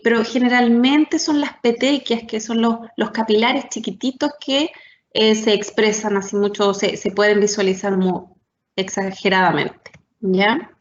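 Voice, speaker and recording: A woman's voice: a little high, a little hiss or hum. A woman giving a university science talk, explaining step by step with frequent tag questions and fillers. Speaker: lecturer. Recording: echoey room, dull lo-fi sound.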